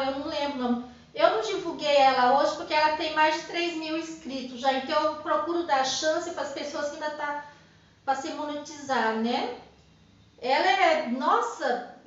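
A Brazilian woman in her forties with a Brazilian Portuguese accent talks to her viewers in a direct, chatty, repetitive way. Her voice sits high, and it rings around a bare room. The sound is dull, from a camera across her desk. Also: a bit bright, very echoey. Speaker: YouTube channel host. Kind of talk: casual chat to camera. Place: small indoor room with plants and a monitor. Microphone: camera in front at desk distance.

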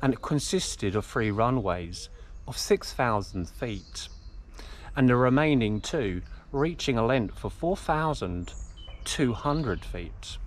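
A man in his forties presenting a history documentary to camera in a measured, deliberate way, pausing between short phrases. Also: medium pitch, animated, a little hiss or hum. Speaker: host of a history exploration vlog. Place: outdoors among derelict buildings in woodland. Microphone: camera held at arm's length.

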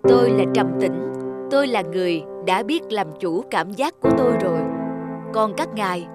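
Woman playing a calm, firm god. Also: medium pitch, normal speed, lightly acted. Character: composed, self-mastered god of calm. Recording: music under the voice.

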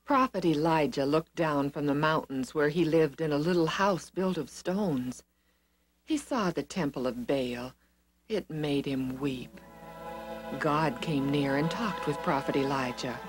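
A woman narrating a scripted children's story with simple, expressive phrasing. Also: low voice, measured pace, faint music behind.

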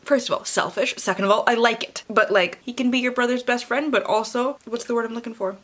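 A woman's voice: a little high, quick pace, fairly bright tone. A young woman book vlogger, chatting casually and reading book blurbs dramatically, with playful, theatrical delivery and sarcastic asides. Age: young adult. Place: small room at home lined with bookshelves.

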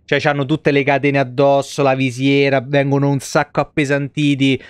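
A man's voice: mid-range voice, monotone, normal speed, smooth, no room sound. An Italian man in his thirties giving casual, profane live game commentary and chatting to viewers. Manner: informal, heavily profane. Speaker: game streamer. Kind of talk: casual live gameplay commentary with asides to chat.

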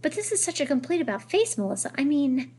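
A woman playing a stern, exasperated father of a schoolboy in a novel reading, measured and stiff. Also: high-pitched, big pitch swings, darkish tone, slight background noise, voice pushed up.

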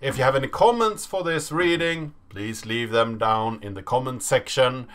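A man in his thirties presenting in a deliberate, measured way, as in a dramatic reading. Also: medium pitch, fairly bright tone. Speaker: host of a programming channel. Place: small indoor room at a desk.

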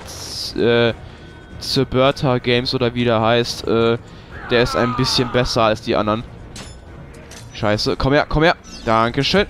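A man's voice: medium pitch, fairly bright tone, a little hiss or hum. A young man, giving casual gameplay commentary, swearing and ranting when he loses. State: has a cold. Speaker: let's play host.

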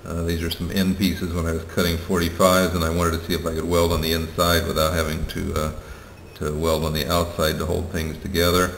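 Low, gravelly man in an echoey room. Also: monotone, a bit bright, a little hiss or hum. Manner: hesitant, plain-spoken, many pauses and fillers. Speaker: hobby welder showing his projects. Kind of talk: casual show-and-tell narration. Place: tiled room at home. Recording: roomy echo with long reverb and faint ambience.

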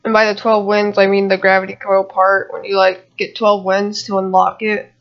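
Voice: medium pitch, completely flat delivery, moderate pace, dull audio. A gamer giving casual, rambling gameplay commentary with muttered asides to viewers, recorded on a home computer microphone. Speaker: gamer narrating own gameplay video.

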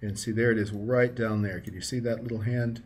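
A man's voice: fairly low pitch, steady tone, brisk. A man presenting a casual screencast tutorial, explaining things step by step as he goes. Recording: clear background noise, keyboard typing and clicks.

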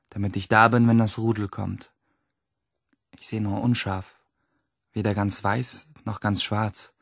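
A man's voice: fairly low pitch, vocal fry, no room sound, studio quality. A man playing a troubled, guilt-ridden teenage schoolboy, speaking his inner monologue huskily in a German radio play.

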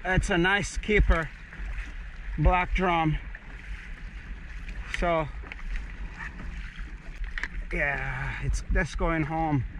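A man fishing from a kayak, giving excited casual commentary to viewers, recorded on a head-mounted action camera outdoors on the water. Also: a little high, very slow, pauses often. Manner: excited, talks to viewers in short bursts. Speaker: fishing vlogger. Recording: faint wind and water noise outdoors.

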